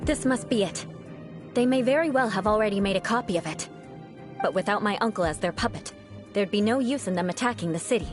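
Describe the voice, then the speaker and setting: A woman's voice: medium pitch, brisk, lightly acted. An actress playing a proud, theatrical young female fighter in a video game, with a haughty, dramatic manner. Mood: serious. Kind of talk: anime-style video game voice acting. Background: game music.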